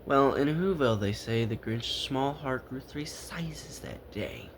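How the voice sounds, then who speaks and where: Slow, halting male storyteller, faint noise. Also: medium pitch, expressive. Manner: halting, stumbling over the text. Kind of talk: read-aloud children's story narration.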